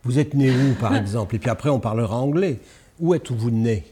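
A man's voice: mid-range voice, brisk, warm tone, husky. A man hosting an interview, asking questions hesitantly and repeating himself as he searches for words.

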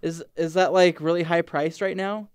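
Female producer, low-pitched, informal, slightly halting as she joins the banter. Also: steady tone, a crowd in the background. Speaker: show producer. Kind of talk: casual banter.

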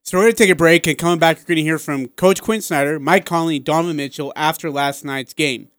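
A male sports radio host with a fairly high, very clear voice talks listeners through the show rundown. The sound is close and dry, with a casual, conversational delivery. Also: fast talker, a bit bright, no room sound.

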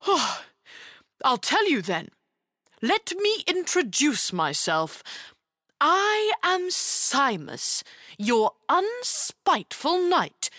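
Woman as earnest little knight, slow and animated. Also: high-pitched, dry recording, studio quality, pitched above the performer's own voice, heavily acted. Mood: proud explaining. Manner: earnest, solemn, flustered at times. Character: tiny earnest male knight, gallant protector of children. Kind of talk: dramatised children's fairy tale reading.

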